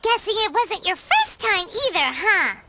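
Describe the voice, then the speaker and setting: A woman voicing a small, sassy, childlike fairy companion in a video game, blunt and squeaky. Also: sing-song, unhurried, over loud music, full character voice. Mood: sassy, scolding. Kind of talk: cartoonish video game voice acting.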